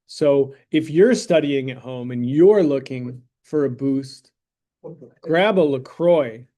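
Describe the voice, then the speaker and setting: A man teaching math to a high school class, talking through problems in a casual, joking way and checking in with the students as he goes. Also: slow, warm tone. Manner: joking, conversational, checks in with "right?" and "okay?". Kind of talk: classroom lesson with a mock sponsor plug.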